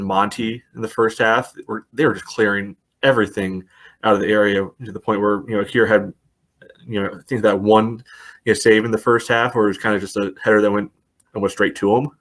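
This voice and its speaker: A man co-hosting a casual soccer podcast, talking at length with many "you know" fillers. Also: on the low side. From United States. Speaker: podcast co-host. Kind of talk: casual sports chat.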